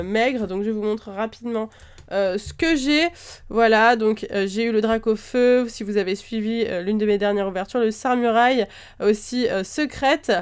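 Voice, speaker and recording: A woman's voice: on the high side, clear, resonant tone. A woman with a slight cold, chatting casually as she opens card packs and lists each one, with lots of hesitant fillers. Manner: chatty, lists items one after another, frequent "euh" fillers. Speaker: card-opening video host. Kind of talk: casual chat while opening trading card packs.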